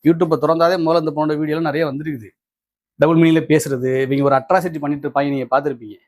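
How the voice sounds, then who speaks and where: A man's voice: on the high side, steady tone, racing, darkish tone. A man with a Tamil Nadu accent giving opinionated political commentary, indignant and combative, repeating phrases for emphasis.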